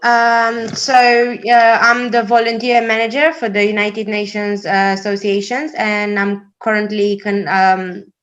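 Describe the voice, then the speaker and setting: A young woman from Romania leading an online discussion, speaking hesitantly with many pauses and fillers. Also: medium pitch, level tone, fairly bright tone, muffled recording. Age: young adult. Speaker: discussion leader.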